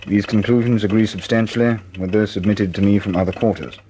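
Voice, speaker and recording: An actor playing a formal reader of official wartime memoranda in a radio drama, with clipped dictation. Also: male voice, on the low side, fairly even intonation, a little fast, warm tone, natural voice. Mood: official, brisk. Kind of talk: scripted radio drama reading. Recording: noisy with typewriter-like clacking.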